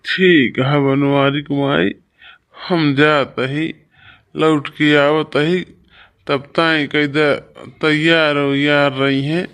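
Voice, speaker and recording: Slow, smooth-voiced weary Awadhi village father acted by a man. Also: fairly high pitch, flat delivery, a bit bright. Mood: resigned, obliging. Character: weary, poor village father and farmer, burdened and obliging. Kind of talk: cartoon village comedy dialogue.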